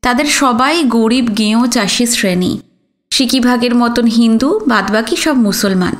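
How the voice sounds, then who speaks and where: A woman's voice: fairly high pitch, measured pace. A woman reading literary fiction aloud as a scripted audio story narration.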